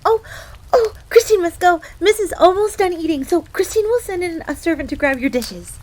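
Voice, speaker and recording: A woman's voice: very high voice, moderate pace, rough recording. A woman voicing a timid, eager fox maid in a fantasy audio roleplay, speaking deferentially and calling herself by name in the third person. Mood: flustered, hurried. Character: timid, eager, easily flustered female fox maid servant. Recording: steady rain ambience under the voice. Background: rain.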